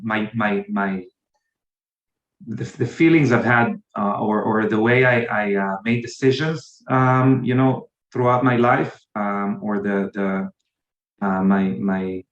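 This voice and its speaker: A man's voice: mid-range voice, fairly bright tone, roomy sound. A man with an Israeli accent, answering interview questions hesitantly with many fillers and restarts. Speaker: guest, company founder.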